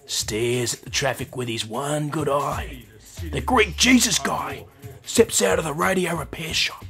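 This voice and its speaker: An Australian man acting in a radio play as a rough, volatile inner-city man just out of custody, talking in terse slang. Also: a little high, a little slow, fairly bright tone. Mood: brooding narration. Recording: music under the voice.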